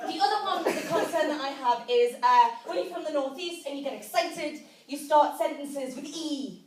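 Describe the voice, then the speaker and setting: A young woman with a Geordie accent performs stand-up in a notably high voice. The room is echoey and she sounds some way from the microphone. She talks in a conversational, self-mocking way, feeling her way through each line. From Newcastle area, North East England. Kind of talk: live stand-up comedy monologue. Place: live indoor event with an audience. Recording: echoey room, distant mic, audience noise. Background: audience laughter.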